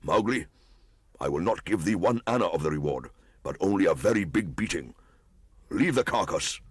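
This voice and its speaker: A man's voice: low voice, lively intonation, moderate pace, slightly rough, no echo, studio quality. A man playing an old village hunter, blustering yet cowardly, with pompous archaic speech, in a storybook reading. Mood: blustering, greedy.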